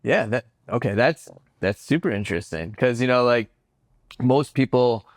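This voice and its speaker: A man's voice: medium pitch, moderate pace, dry recording. Friendly-prompting male interviewer, dry sound. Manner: encouraging, prompting with short questions. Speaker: interview host. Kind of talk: casual interview. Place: convention floor.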